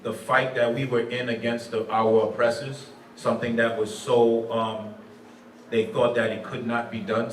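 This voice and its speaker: A man, a teacher and nonprofit program director, answering panel questions in a thoughtful way and slipping in Creole and French phrases. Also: mid-range voice. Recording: echoey room from far off, faint background noise.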